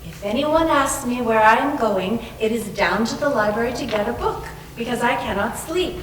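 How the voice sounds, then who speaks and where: Woman acting unhinged elated young woman, theatrical stage reading, very lively pitch, echoey distant recording, faint noise. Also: moderate pace, close to an everyday voice. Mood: dreamy elation. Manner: dreamy, swooping, theatrical delivery. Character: unhinged, giddily elated young woman roaming a haunted house. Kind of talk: readers theater dramatic reading.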